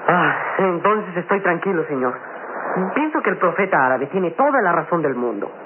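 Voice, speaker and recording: A young boy companion in an old radio adventure. The voice is high, earnest and curious, respectful toward his master. The recording is thin and tinny with faint noise. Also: very animated, moderate pace. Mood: relieved. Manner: earnest, questioning. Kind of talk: vintage radio drama acting.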